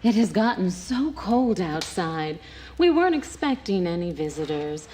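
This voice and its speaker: A sugary, fussing old caretaker. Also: female voice, lowish voice, sing-song, measured pace, darkish tone, slight background noise. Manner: sweet, fussing. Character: doting older woman caretaker, overly sweet. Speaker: game character.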